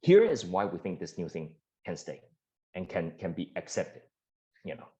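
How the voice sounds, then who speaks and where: A man from Taiwan, a startup founder answering investor questions earnestly, with frequent restarts and fillers. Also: normal speed. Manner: earnest, many restarts and fillers, rises in pitch when animated. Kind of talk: pitch Q&A answers. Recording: dull lo-fi sound.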